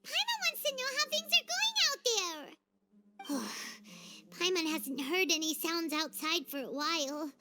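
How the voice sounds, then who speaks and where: A woman voicing a tiny, chatty, childlike fairy companion in a video game, with a squeaky, excitable delivery. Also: takes it slowly, heavy background noise, exaggerated voice. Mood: fretful. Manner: refers to self in third person, excitable. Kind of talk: animated video game dub.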